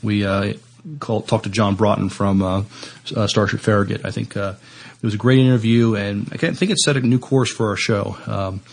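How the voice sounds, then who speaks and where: A man co-hosting a casual podcast, talking in halting, hesitant phrases. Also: on the low side, fairly even intonation, gravelly. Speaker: podcast co-host. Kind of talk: casual chat.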